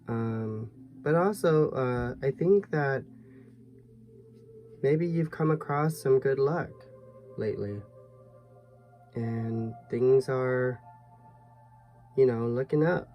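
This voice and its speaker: A man giving a tarot reading to viewers in a soft, musing way, his voice a little hoarse. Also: mid-range voice, takes it slowly, pauses often, soft background music. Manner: soft, musing, thinks aloud with fillers. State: slightly hoarse, losing his voice. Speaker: tarot reader.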